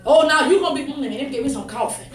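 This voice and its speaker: A woman's voice: high-pitched, lively intonation, racing. A woman preacher giving a fervent sermon, exhorting emphatically, swinging between conversational asides, scripture reading and raised-voice declarations. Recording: echoey room, distant mic, faint noise.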